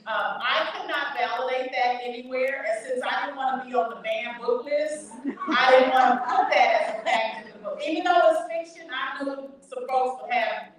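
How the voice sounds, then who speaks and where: A woman author tells stories at a bookshop event, lively and laughing as she goes. Her voice sits a little high. She is picked up from across a very echoey room. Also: distant mic. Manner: animated storytelling with laughs.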